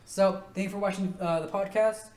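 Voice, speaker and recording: A young man with a nasal, rather high voice, co-hosting a casual podcast chat, with halting restarts. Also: fairly even intonation, a little mellow. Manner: halting, stammering restarts, laughs often. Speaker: podcast co-host.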